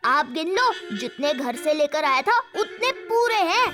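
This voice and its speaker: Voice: sits high, big pitch swings, measured pace. An actor voicing a cheeky, scheming, lazy schoolboy in a playful, dramatic way for a kids' comedy cartoon. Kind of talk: exaggerated cartoon voice acting. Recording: music behind the voice.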